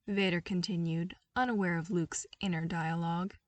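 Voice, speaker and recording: A woman's voice: fairly low pitch, steady tone, moderate pace, no room sound, far from the mic. A woman reading a scripted story as an audiobook narrator. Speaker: podfic narrator.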